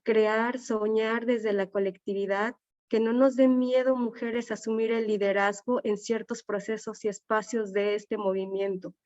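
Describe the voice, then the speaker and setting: Woman, Nahuatl native, earnest and heartfelt, fairly high voice, dry, slightly dull online sound. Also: level tone, measured pace, darkish tone, no room sound. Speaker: panelist. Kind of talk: closing speech on an online panel.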